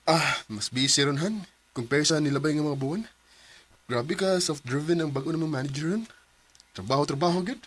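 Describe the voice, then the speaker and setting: A man's voice: fairly high pitch, brisk, fairly bright tone, husky. A man with a Cebuano Filipino accent playing an easygoing young married office worker in a radio drama. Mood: casual, mild. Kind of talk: radio drama acting and narration.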